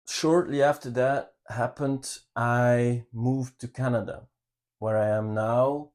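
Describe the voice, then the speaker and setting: Slow, earnest Belgian man. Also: medium pitch, a little mellow. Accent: Flemish Belgian. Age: 30s. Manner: earnest, halting, with restarts. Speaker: channel co-host. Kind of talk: personal life story, casual talk.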